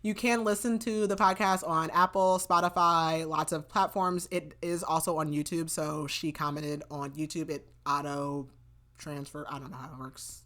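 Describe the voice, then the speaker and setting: A woman's voice: deep voice, steady tone, normal speed, fairly bright tone. A woman co-hosting a book podcast in casual chat, laughing often and trailing off mid-sentence. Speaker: podcast co-host.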